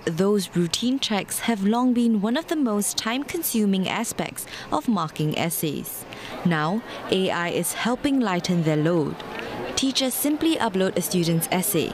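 A female news reporter reads a scripted voice-over at a mid-range pitch. Her delivery is crisp and even, and clear background noise sits under her voice. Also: a little slow.

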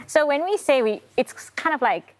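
A woman's voice: sits high, animated, moderate pace. A woman speaking as a panel commentator in an unscripted discussion.

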